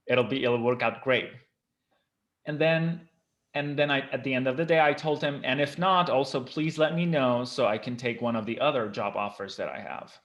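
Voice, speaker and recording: A man's voice: medium pitch, lo-fi sound. A man whose first language is Armenian, a guest professor, recounting his career in a casual interview, earnest and frank with frequent ums. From Lebanon.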